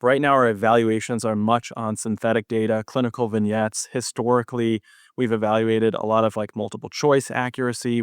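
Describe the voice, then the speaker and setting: Guy researcher on a podcast, clean smooth voice, no room echo, explaining his work. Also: medium pitch, clean studio sound. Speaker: podcast guest, clinical AI researcher. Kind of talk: interview answer, explanatory talk.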